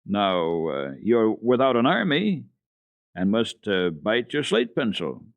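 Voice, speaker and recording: Guy reading a jolly teasing old grandpa, kinda raised loud voice, radio story. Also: lowish voice, big pitch swings, takes it slowly, no room sound, exaggerated voice.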